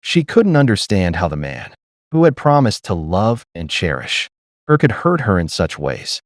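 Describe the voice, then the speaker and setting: A man narrating a scripted dramatic story in a solemn, measured storytelling manner. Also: deep voice, very animated, dry recording, studio quality.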